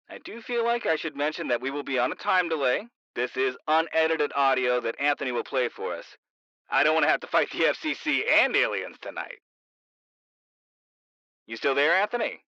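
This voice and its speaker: A man's voice: fairly high pitch, very animated, moderate pace, pushed and bright, dry recording, lo-fi sound. An actor playing a late-night radio talk show host who hypes his audience in a showy way.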